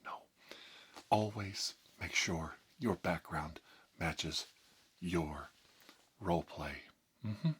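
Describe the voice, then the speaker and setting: Low-pitched deadpan man giving mock ASMR tutorial. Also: slight background noise. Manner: slow, deliberate, deadpan mock-doctor delivery. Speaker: ASMR video host.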